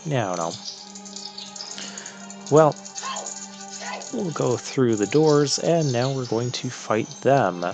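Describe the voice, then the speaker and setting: A man hosts a video game playthrough with casual commentary. He speaks at an unhurried, slow pace, and game music plays clearly behind his voice. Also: mid-range voice, expressive.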